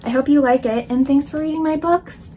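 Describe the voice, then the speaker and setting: A woman's voice: sits high, steady tone, a little fast, mellow, slight background noise. A woman, chatting casually to camera as a children's illustrator. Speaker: children's book illustrator and author. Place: indoor room full of books.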